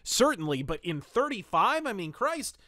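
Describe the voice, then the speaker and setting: A man hosting a podcast, giving an opinionated, conversational review in a casual, animated way. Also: high voice, normal speed, no echo, studio quality. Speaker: podcast host reviewing pulp fiction.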